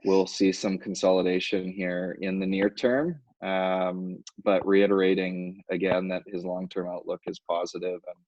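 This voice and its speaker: Low flat-voiced man on a call. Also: dry recording. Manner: halting, many fillers and restarts. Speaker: financial advisor. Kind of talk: conference call market update. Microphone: phone or conference line. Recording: dull lo-fi conference call audio.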